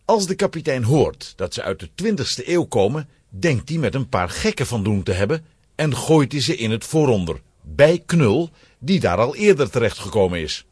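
Animated, husky male storyteller. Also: medium pitch, normal speed, dry recording, clean studio sound. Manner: dramatic, suspenseful storytelling. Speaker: narrator. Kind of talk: scripted children's story narration.

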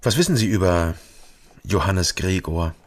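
An actor playing a terse, matter-of-fact private detective who narrates and questions people in a German radio crime drama. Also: male voice, low-pitched, animated, a little slow. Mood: probing. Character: male East Berlin private detective, ex-policeman, sober and probing.

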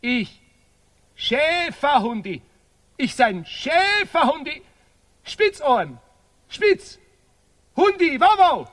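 Shrill yapping little dog, reverberant hall. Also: male voice, sing-song, slow, long pauses, fairly bright tone, heavily acted. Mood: eager, yappy. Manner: childish broken speech with barked woof-woofs. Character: stubborn, yapping little shepherd dog, man turned doggy. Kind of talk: experimental radio play acting.

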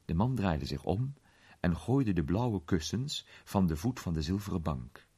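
Male audiobook narrator: low, husky, expressive delivery of literary prose. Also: a little slow.

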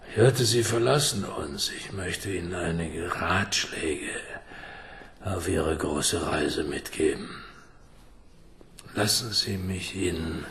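Gravelly, ailing older gentleman. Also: low-pitched, a little hiss or hum, full character voice. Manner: avuncular, instructing in formal address. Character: ailing, shrunken older gentleman, secretive and urgent. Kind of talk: radio drama acting.

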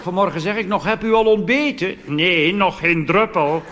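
A Dutch man doing a cabaret monologue on stage, delivering deadpan punchlines and jumping into animated put-on voices. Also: sits high, normal speed. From Netherlands. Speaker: cabaret comedian. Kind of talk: stand-up monologue. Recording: reverberant hall with audience noise.

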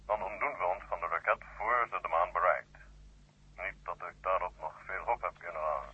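An actor in a 1970 Dutch radio play, playing a stern, formal Soviet marshal heard over a telephone. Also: male voice, low voice, normal speed, breathy edge. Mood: resigned. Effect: telephone line filter. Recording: tinny, echoing telephone line.

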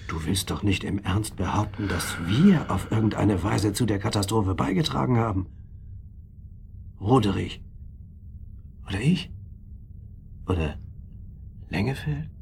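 A man's voice: lowish voice, a little slow, creaky, pauses often, a little mellow, slight background noise. An actor playing a skeptical old friend in a radio drama, challenging his companion in a pointed, familiar way. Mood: defensive. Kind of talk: German radio drama acting.

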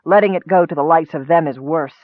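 A man voicing a troubled, resolute male elf in a fantasy audiobook, measured and formal. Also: fairly high pitch, dry recording, narrow, tinny audio, close to an everyday voice. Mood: troubled resolve.

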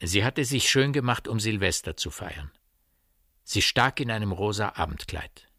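A man's voice: lowish voice, lively intonation, normal speed, sharp tone, no room sound, clean studio sound, exaggerated voice. A man narrating a literary radio play in a precise, formal way. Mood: intense.

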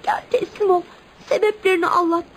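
Radio play child role: loyal, soft-hearted youngster, extremely high pitch, earnest delivery, slightly noisy recording. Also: female voice, a little fast. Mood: earnest, pleading.

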